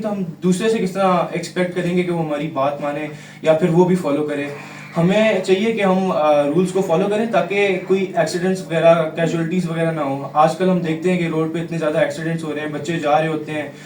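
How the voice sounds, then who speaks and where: A Pakistani young man in his late teens gives earnest, hesitant interview answers, dropping in English terms. His voice is fairly high. It sounds echoey and a little far from the mic, with faint noise. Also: a little mellow. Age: teens, about sixteen or seventeen. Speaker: student guest. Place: radio studio.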